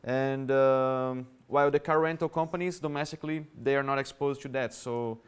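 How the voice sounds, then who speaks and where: Man, Brazilian Portuguese accent, conference talk, smooth clear voice, mid pitch. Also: fairly even intonation, normal speed. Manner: conversational, explanatory, casually addressing the audience. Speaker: conference speaker. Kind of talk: conference presentation. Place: conference hall.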